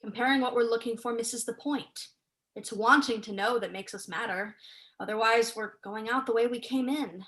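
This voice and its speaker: A young woman student actor playing a sharp, unsentimental, sceptical scholar in a staged play reading. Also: a little high, normal speed, natural voice. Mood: impassioned. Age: young adult.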